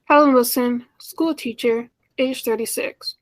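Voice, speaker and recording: A woman's voice: fairly high pitch, steady tone, measured pace, close to an everyday voice. A woman playing a lonely, earnest schoolteacher in her thirties in a 1940s-style radio play, speaking politely and tenderly. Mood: warm.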